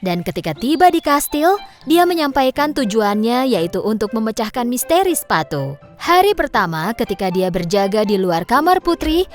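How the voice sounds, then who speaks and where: A woman narrating a children's cartoon story in an expressive, lilting way. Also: fairly high pitch, moderate pace, faint music behind.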